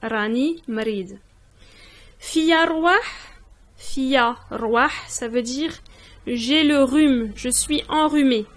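A woman giving a language lesson in a voice-over, saying each word slowly, repeating it and translating it. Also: high-pitched. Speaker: language teacher. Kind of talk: slow spoken language lesson. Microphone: voice-over on text slides.

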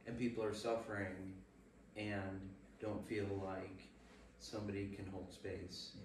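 A man with a lowish voice adds an earnest comment to a group discussion. He sounds off-mic in an echoey room. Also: level tone, a little slow. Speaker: Bible study participant. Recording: echoey room, distant mic, faint noise.

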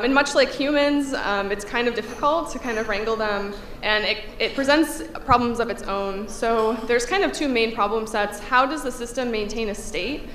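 A woman's voice: a little high, fairly bright tone. A woman in her thirties giving a technical conference talk at a podium, a little congested from a cold, speaking conversationally with frequent fillers. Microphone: podium microphone. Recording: echoey hall, faint room hum.

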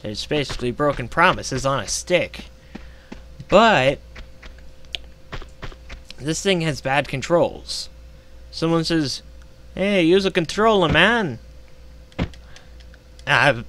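A man's voice: a little high, lively intonation, slow, pauses often, fairly bright tone, a little hiss or hum. A man who says he is losing his voice, ranting with a lot of profanity while commenting on a game. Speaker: gaming vlogger. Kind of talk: casual ranting commentary over gameplay. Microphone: gaming headset.

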